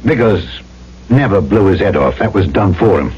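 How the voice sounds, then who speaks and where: A man's voice: low-pitched, expressive, normal speed, slight background noise. An actor playing a tough, plain-spoken island trader who narrates his own story in old-time radio drama style, folksy and self-assured. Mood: firm, reassuring. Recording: muffled old radio recording with music behind.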